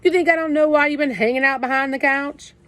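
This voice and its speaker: A woman doing a gruff, streetwise teen brother. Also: high-pitched, steady tone, fast, a bit bright, a little hiss or hum, pitched above the performer's own voice. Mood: gruff comforting. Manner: streetwise slang, scolding then comforting. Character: tough teenage big brother, gruff but protective.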